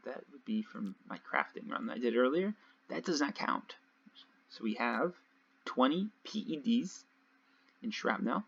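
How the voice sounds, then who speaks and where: A young man casually commentating his gameplay nonstop, his voice a little raspy from long talking. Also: mid-range voice, fairly bright tone. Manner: chatty, rambling, nonstop talk with fillers. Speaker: let's play host. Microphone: home desk mic. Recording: dull lo-fi home mic.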